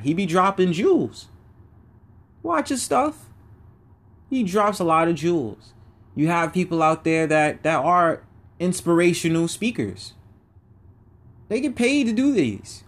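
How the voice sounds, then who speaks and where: A man hosting his own podcast, giving a casual, preachy motivational monologue in everyday slang. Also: fairly high pitch. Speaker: podcast host.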